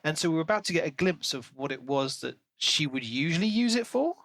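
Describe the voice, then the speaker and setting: A man's voice: fairly high pitch, very animated, brisk, a bit bright, dry recording. A man co-hosting a podcast, discussing books in a casual, conversational way. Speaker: podcast co-host.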